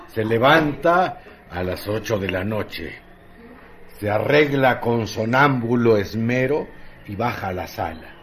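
A man's voice: medium pitch, a little hiss or hum. A man narrating a scripted radio drama in a dramatic style.

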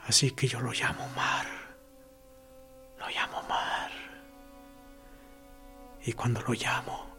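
An actor playing a wistful boy who recalls childhood longings in a hushed radio-play monologue. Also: mid-range voice, creaky, heavily acted. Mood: dreamy, hushed. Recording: music bed under the voice.

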